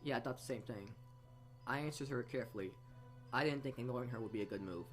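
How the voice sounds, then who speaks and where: A man reads game text aloud in a fairly high voice over music, stopping to repeat words he trips on. He hosts the playthrough, adding asides as he goes. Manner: halting, stumbling over words and re-reading. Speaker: let's play host reading a visual novel aloud.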